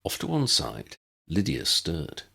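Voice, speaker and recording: A man's voice: a bass voice, huge pitch swings, takes it slowly, vocal fry, pushed and bright, breathy edge. A man reading scripted fantasy fiction as an audiobook narrator.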